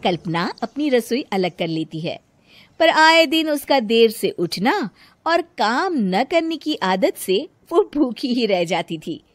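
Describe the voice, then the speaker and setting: High lively woman narrating a cartoon. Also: no room sound. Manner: plain storytelling. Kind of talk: scripted cartoon story narration.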